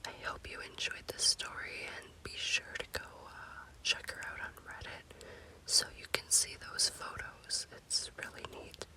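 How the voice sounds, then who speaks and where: A woman speaking in a breathy, unvoiced whisper in the style of an ASMR spooky storytelling host, with deliberate phrasing. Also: sharp tone, rough recording.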